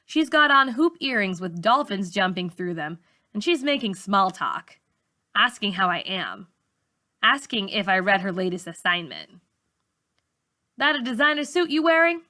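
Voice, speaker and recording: A woman reading a novel aloud as a teacher would, in an expressive storybook style for young students. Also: medium pitch, measured pace. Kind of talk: expressive storybook narration for middle schoolers.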